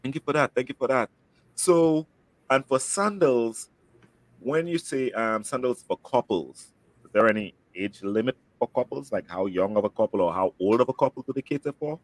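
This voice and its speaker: A man's voice: medium pitch, normal speed, no echo, rough recording. A man with a Saint Lucian accent hosting a casual promotional interview, chatty and fond of repeating words for emphasis. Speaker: host, travel agent.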